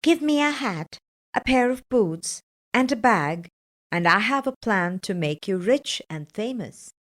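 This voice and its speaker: A woman's voice: medium pitch, lively intonation, a little slow, lightly acted. A woman voicing a clever, persuasive talking cat in a fairytale storybook reading. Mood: eager, courtly. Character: clever, bold talking cat, servant to his master.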